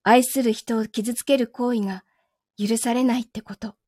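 Woman acting a high, sweetly menacing possessive lover. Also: fairly even intonation, husky, no echo, clean studio sound. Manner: soft, gentle, unhurried delivery without shouting. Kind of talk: Japanese voice drama acting.

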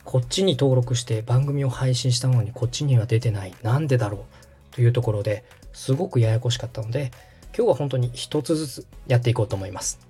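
Podcast tutorial host: mellow, slightly husky, explanatory, wide-moving intonation over background music. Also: mid-range voice, fast talker. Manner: explanatory, checks the listener's understanding. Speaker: host and radio director giving a tutorial.

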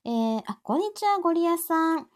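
A woman's voice: extremely high pitch, expressive, fairly quick. A woman in her forties with a Kansai accent, chatting casually and playfully as host of a live audio show, often giggly. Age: 40s. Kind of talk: casual chat.

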